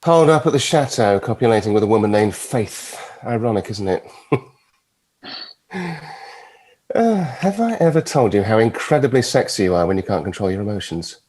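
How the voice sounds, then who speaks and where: An actor playing a suave, mischievous devil with a silky, teasing, theatrical manner at a table read. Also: male voice, fairly low pitch, big pitch swings, brisk, darkish tone. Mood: wry, amused. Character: suave, mischievous devil, a retired Lord of Hell.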